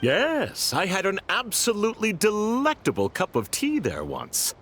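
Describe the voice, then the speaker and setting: A smarmy slick collector, really slow and drawn out, voice swoops around, faint rumble. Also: male voice, wildly swooping pitch, a bit bright, exaggerated voice. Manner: slow, flowery and ingratiating. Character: suave adult male antique collector, smarmy. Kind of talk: video game voice acting.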